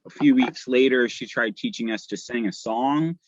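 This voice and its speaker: A man's voice: mid-range voice, moderate pace, a little hiss or hum. A young man chatting casually and telling personal stories as a guest, heard over a muffled internet call. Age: young adult. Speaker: podcast guest. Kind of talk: casual chat, personal storytelling. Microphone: video call.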